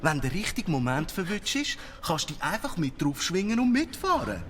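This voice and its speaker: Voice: medium pitch, very animated, moderate pace, a bit bright, a little hiss or hum. An actor playing a brash, streetwise big-city cockroach in a children's radio play, with Swiss German speech and hip-hop slang mixed with English. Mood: eager.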